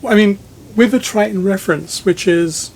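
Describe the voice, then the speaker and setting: Higher-pitched man interviewing, measured. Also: gravelly, darkish tone, slight background noise. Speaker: magazine editor interviewing a speaker designer. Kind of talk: conversational technical interview. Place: listening room in a home.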